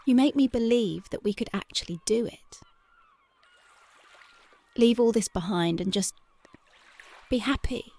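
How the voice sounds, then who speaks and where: A woman voicing a tough but devoted young punk girlfriend in an ASMR roleplay, talking softly and intimately close to the mic, often dropping to a whisper. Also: on the high side, a little slow, pauses often. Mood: tender, dreamy. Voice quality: soft, intimate, partly whispered. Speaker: voice actress.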